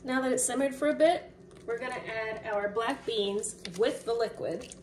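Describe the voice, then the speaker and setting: A young woman talks through her cooking in a loose, chatty way, her voice sitting a little high. She sounds a bit away from the mic in a home kitchen, with clear background noise. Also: normal speed. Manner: relaxed, chatty, with fillers. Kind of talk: casual cooking narration. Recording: distant mic, noticeable room noise.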